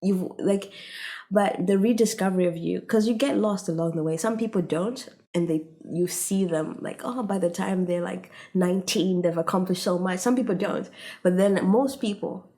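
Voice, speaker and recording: A woman from Africa speaks as a podcast guest in relaxed, casual conversation. Her voice sits on the low side, and she talks in an earnest, reflective way. She fills her phrases with "like" and "you know". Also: normal speed.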